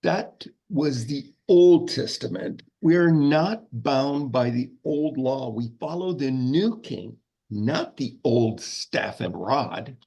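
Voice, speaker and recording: A man playing a slow, gravelly, pompous scolding monk. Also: medium pitch, expressive, dark tone, heavily acted. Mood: indignant. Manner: pompous, lecturing. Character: pompous, stern traditionalist male monk, scolding. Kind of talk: table read of a comedy script.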